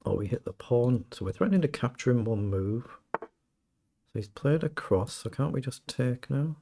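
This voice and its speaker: A British man with a slightly croaky voice, casually commentating his own chess game and thinking aloud. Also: mid-range voice, animated, normal speed, mellow, a little hiss or hum. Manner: thinking aloud, self-questioning. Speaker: chess streamer.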